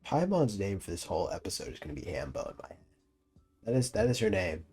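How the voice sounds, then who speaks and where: A man's voice: sits low, very animated, quick pace, a little mellow, slightly rough, faint music behind. A young man giving casual, slangy and joking commentary as he streams a game. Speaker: gaming streamer.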